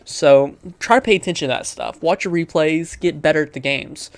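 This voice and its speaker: A man's voice: fairly high pitch, moderate pace, dry recording. A man hosting a gaming video, chatting casually and reading game text aloud, often stumbling over the words as he goes.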